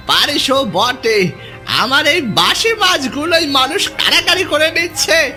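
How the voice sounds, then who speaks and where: Sharp, sneering rival fishmonger. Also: male voice, extremely high pitch, expressive, slow, soft background music, exaggerated voice. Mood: mocking. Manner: mocking, taunting. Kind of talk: cartoon story acting.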